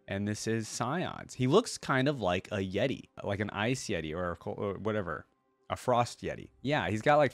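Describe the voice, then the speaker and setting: A man's voice: medium pitch, no echo. A man hosting a reaction video, giving casual, informal commentary in slangy fan talk addressed to his viewers.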